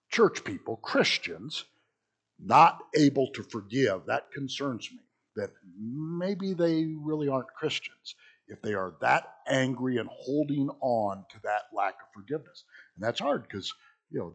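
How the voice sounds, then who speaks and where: A middle-aged male pastor teaching Sunday school with a hoarse, husky voice after being sick, talking casually and joking with asides. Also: medium pitch. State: hoarse from illness. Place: small church.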